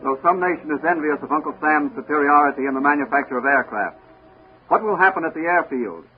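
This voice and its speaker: A man's voice: a little high, fast talker, natural voice. A man playing a dramatic, suspenseful announcer narrating a 1940s radio serial. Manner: dramatic, declamatory. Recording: tinny 1940s radio recording with music.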